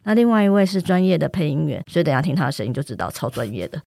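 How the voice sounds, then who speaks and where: A woman's voice: low voice, animated, rapid-fire, creaky, darkish tone, slightly rough, no room sound, studio quality. A woman with a Taiwanese Mandarin accent hosting a chatty podcast interview. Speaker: podcast host.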